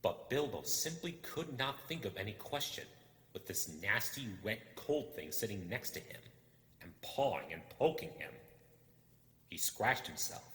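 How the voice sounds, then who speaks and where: A man reading a story aloud as dramatic narration, expressive and suspenseful. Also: lowish voice, a little slow. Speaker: amateur audiobook reader. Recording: echoey hall-like reverb.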